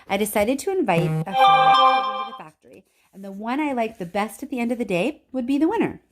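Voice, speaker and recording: A woman's voice: fairly high pitch, animated, fairly quick, over loud music, pitched above the performer's own voice, natural voice. A woman voicing an eccentric, eager old male factory owner with an emphatic, cheerful, insistent way of talking in a storybook reading. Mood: earnest, confiding. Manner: emphatic, exclamatory.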